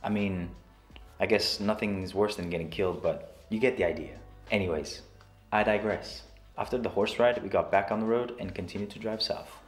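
A man's voice: on the low side, moderate pace. A young man narrating his travel vlog in a scripted way, with dry, self-mocking humor. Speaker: vlogger. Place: small room at home, talking to camera. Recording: roomy echo with soft guitar music.

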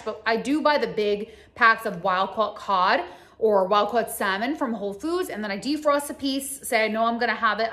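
Higher-pitched woman giving casual, emphatic advice. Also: pushed and bright. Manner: conversational, emphatic, addresses the audience directly. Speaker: health coach and video host. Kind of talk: casual instructional talk to camera. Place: home kitchen, indoors.

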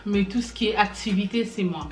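Soft-spoken young woman in a roomy room. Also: mid-range voice, brisk, faint music behind. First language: Lingala. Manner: soft-spoken, reserved, laughing bursts. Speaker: vlogger. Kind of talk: casual couple Q&A chat. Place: living room with fireplace screen.

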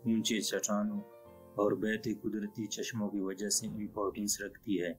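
A man with a lowish voice narrates an outdoor travel vlog in a calm, even, scripted voice-over. Soft music plays quietly behind him. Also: normal speed. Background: soft background music.

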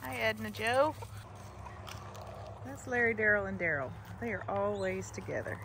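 Brief: older woman, chatty backyard chicken keeper, outdoors, hens clucking clearly behind her. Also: medium pitch, expressive, moderate pace, a bit bright, slight background noise. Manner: chatty, sing-song greetings to her hens. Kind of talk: casual walk-through chat.

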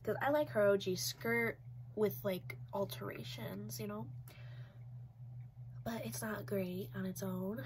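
Young woman, 20s, stuffy from a cold, rambling casual vlog talk, mid pitch, faint room hiss. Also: low-quality recording. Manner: rambling, chatty, many "like"s and "um"s. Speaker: doll collector vlogger. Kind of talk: casual review chat. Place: small decorated bedroom, indoors.

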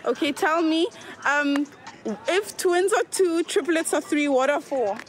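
A woman interviewing people on the street, upbeat and quick with her questions and thanks. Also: high-pitched. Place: outdoors on a street. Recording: street recording with faint noise.

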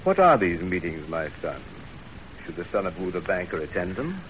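A very deep-voiced stern father. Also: sing-song, a bit bright. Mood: stern, cautioning. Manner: measured, admonishing. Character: stern, cautious wealthy Chinese banker father. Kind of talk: 1930s radio acting. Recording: noisy old 1930s radio recording.

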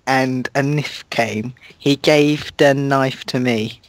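A man's voice: mid-range voice, steady tone, slow, no room sound. A man reading a fan story aloud in a mock-dramatic way, stumbling over words and laughing at the text.

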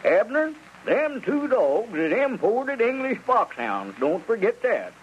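An actor playing a boastful, smooth-talking country squire in a radio comedy, pompous and given to hemming and showman talk. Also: high voice, very animated, slow pace, heavily acted. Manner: pompous, hesitant hemming, then grandiose showman. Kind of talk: 1930s-40s radio comedy acting. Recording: muffled, noisy vintage radio recording.

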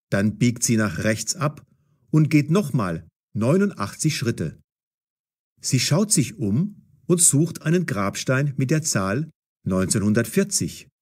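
A man narrating a scripted story for language learners in a deliberate, carefully enunciated, dramatic storytelling style. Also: mid-range voice, darkish tone, husky.

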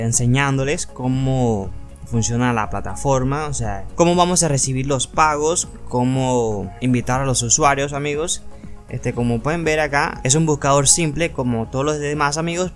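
A man with a Venezuelan accent narrating an informal screen tutorial, explaining step by step and addressing viewers as friends. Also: a little high. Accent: Venezuelan Spanish. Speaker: tutorial channel host. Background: background music.

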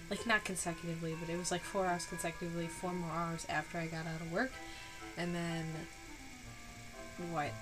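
A woman's voice: fairly low pitch, poor audio. A woman in her twenties from Buffalo, New York, chatting in a rambling, apologetic way in a home haul vlog, sounding nasal, tired and a bit sick. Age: 20s. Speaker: vlogger. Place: dark room at home.

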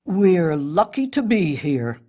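A woman's voice: fairly low pitch, expressive, slow pace, darkish tone. A woman voicing a proud, dry, worldly talking piece of spruce wood in a storybook. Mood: boastful, dry. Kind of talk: storybook character reading.

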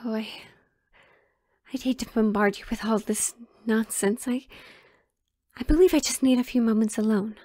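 A woman's voice: a little high, fairly even intonation, moderate pace, pauses often, mellow, no room sound, studio quality, close to an everyday voice. A woman playing a sheltered, pleading young royal in a romantic audio drama, with hesitant, formal old-fashioned phrasing. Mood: wistful, hesitant.

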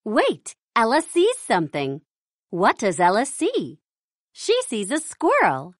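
A woman reads a children's storybook aloud in a slightly high voice. She goes word by word, very slowly, with a very lively melody, so young readers can follow along. The sound is dry. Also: dry recording. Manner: slow, careful, word-by-word delivery for young readers. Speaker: storybook narrator. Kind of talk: scripted children's read-along narration.

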